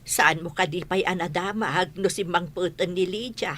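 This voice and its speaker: A woman's voice: lowish voice, moderate pace, fairly bright tone. A woman playing a plaintive, ailing elderly wife and mother in an Ilocano radio comedy drama. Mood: coaxing, motherly.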